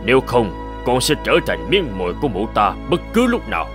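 A man voicing a solemn, commanding old bearded god who instructs gravely in a cartoon fairy tale. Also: medium pitch, big pitch swings, brisk, music in the background, lightly acted. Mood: grave, instructive.

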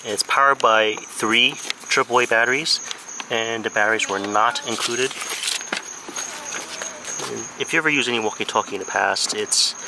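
A man's voice: mid-range voice, moderate pace. An American man in his thirties giving a casual, informal hands-on product review outdoors, with a plain, slightly hesitant way of talking. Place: backyard deck, outdoors. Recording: outdoor noise with insects and traffic.

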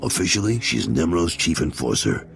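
An actor playing a burly, gruff middle-aged crime boss in a video game, with a gravelly voice and a blunt, swaggering manner. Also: male voice, deep voice, sing-song, normal speed, soft background music, heavily acted. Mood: gruff, approving. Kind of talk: video game cutscene acting.

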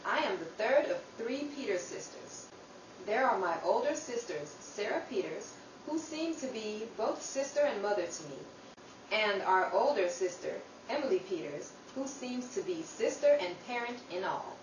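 Woman as earnest youngest sister, radio drama, measured storytelling, medium pitch, distant echoey noisy recording. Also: animated, natural voice. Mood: earnest, reflective. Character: earnest, grateful youngest sister telling her Depression-era story. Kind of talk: old time radio drama acting. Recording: distant, echoey room with clear background noise.

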